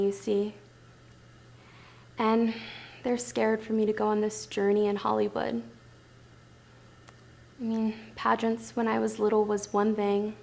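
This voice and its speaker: Hopeful 1960s Hollywood ingenue played by a woman, theatrical monologue, higher register with vocal fry, polite, eager, earnest. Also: darkish tone. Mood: wistful, earnest. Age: young adult. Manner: earnest, gushing, girlish politeness.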